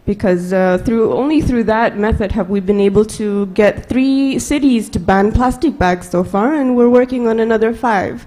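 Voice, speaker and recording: A woman answering on a panel, speaking with many fillers and pauses. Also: on the high side, warm tone, slight background noise, rough recording.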